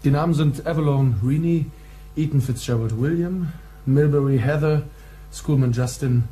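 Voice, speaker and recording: A man's voice: fairly high pitch, normal speed, warm tone, a little hiss or hum, close to an everyday voice, rough recording. An actor playing a flirtatious but matter-of-fact male informant in a crime radio play. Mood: dry, teasing.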